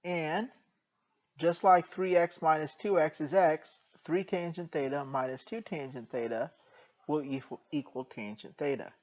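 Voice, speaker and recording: A soft-spoken man with a slightly high voice walks through a math problem at a slow, careful pace and slips in dry jokes. The recording sounds dull and lo-fi, like a basic home lesson video. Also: fairly even intonation. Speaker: math teacher.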